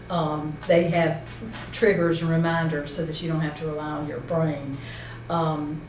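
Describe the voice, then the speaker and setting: A woman's voice: fairly low pitch, darkish tone. A woman presenting an informal instructional talk, explaining step by step and checking points with a colleague. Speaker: seminar presenter. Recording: roomy echo, distant mic, faint noise.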